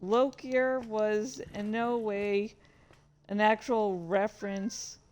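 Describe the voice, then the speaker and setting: A woman giving casual, rambling commentary as a writer, halting and self-deprecating, with some swearing. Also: mid-range voice, flat delivery, a little mellow, smooth. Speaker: writer reading and commenting on own story.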